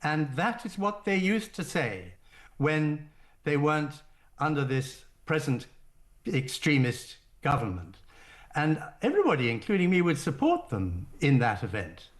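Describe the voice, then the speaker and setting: An older man speaking as a radio interview guest, setting out his points in a formal, deliberate way. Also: a little high, sing-song. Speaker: guest, former diplomat.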